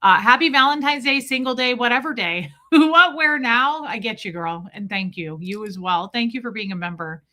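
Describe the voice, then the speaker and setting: Bright-voiced Midwestern American woman, candid and chatty. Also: lively intonation, normal speed, few pauses. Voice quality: voice deeper than usual from lack of sleep. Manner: candid, chatty, breaks off to greet viewers. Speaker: livestream host. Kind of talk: casual livestream chat with viewers.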